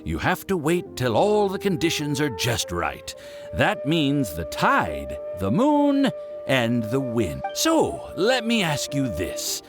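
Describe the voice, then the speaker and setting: An actor playing a courteous, knowing warehouse manager in a video game, formal and cryptic, with music behind. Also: male voice, mid-range voice, wildly swooping pitch, slow. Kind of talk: video game character acting.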